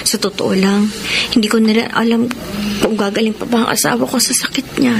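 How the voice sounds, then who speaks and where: An actress playing a devoted, worn-down wife in a radio drama, speaking in an earnest, emotive way. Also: female voice, fairly high pitch, steady tone, fairly quick, vocal fry, background noise. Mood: confiding, weary. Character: devoted, worn-down wife defending her friendship. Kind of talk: Tagalog radio drama acting. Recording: music bed under the voice.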